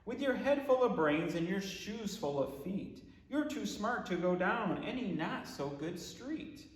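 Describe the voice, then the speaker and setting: A man with a high-pitched voice reads a children's storybook aloud in an expressive, theatrical way. His pitch swings widely through the rhymes, and he phrases each line deliberately. The sound is echoey, with long reverb around the voice. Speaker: pastor reading a children's book aloud. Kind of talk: expressive storybook read-aloud in rhyming verse.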